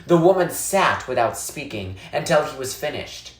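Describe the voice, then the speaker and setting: A narrator reading a children's novel aloud in a measured, expressive storytelling way. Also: mid-range voice. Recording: echoey, roomy sound.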